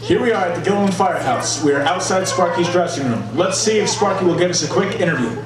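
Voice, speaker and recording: A man playing a brisk backstage interviewer in a mock on-location report. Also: high-pitched, expressive. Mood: brisk, curious. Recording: very echoey, distant mic.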